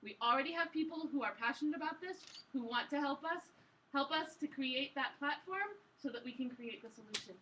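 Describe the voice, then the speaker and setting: A woman giving an informal, enthusiastic and colloquial live pitch as a project presenter. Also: a little fast, pushed and bright. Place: live event room with audience. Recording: distant mic, faint background noise.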